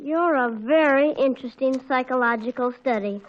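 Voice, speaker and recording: A woman playing a cocky, teasing schoolboy kid brother in 1940s radio comedy style, with smug, deadpan needling. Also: high-pitched, moderate pace, natural voice. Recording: muffled, band-limited 1940s radio recording.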